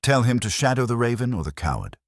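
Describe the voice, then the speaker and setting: A man's voice: mid-range voice, very animated, fast talker, no echo, clean studio sound, pitched above the performer's own voice, lightly acted. A man voicing a tough, wry detective's assistant with a clipped, wisecracking manner in an audiobook mystery. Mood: brisk. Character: wry, tough male private investigator's assistant.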